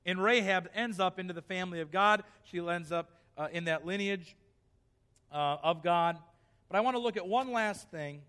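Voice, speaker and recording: A man's voice: sits high, moderate pace, fairly bright tone, long reverb tail. A male pastor preaching a sermon in a church, reading scripture aloud and then pressing his point with rising emphasis.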